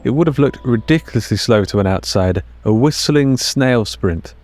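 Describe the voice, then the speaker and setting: A man narrating scripted audio fiction in a measured, deliberate storytelling manner with short dramatic phrases. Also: mid-range voice, lively intonation, darkish tone. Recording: music under the narration.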